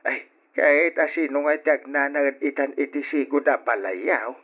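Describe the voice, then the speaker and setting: A man playing a kindly, protective old grandfather, exclamatory and drawn-out, in old radio drama style. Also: mid-range voice, fairly bright tone, slightly rough. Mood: indignant. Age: older. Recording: dull lo-fi old radio recording.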